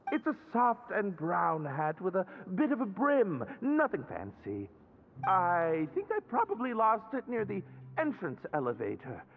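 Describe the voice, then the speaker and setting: A narrow, muffled sound carries a timid young man in a video game. He is embarrassed and hesitant, pleading politely. His pitch is very high and swings around a lot. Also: wildly swooping pitch, measured pace, creaky, full character voice. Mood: embarrassed, earnest. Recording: muffled, narrow-band, faint music behind.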